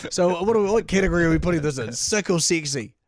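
Hoarse New Zealand man hosting a show. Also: on the high side, lively intonation, fast talker, no room sound. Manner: teasing, chatty. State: hoarse, losing his voice. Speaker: radio podcast host. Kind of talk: casual chat.

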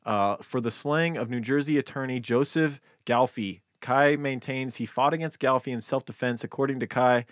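A man's voice: medium pitch, moderate pace, hardly any pauses, no echo. A male true-crime podcast host with a mid-range voice. He talks casually and conversationally into a close microphone, with a very dry sound.

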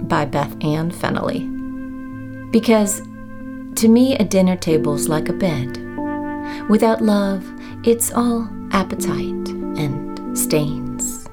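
A woman poet reads her own poem expressively, savoring phrases and pausing for emphasis. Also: mid-range voice. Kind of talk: expressive poetry reading of own work.

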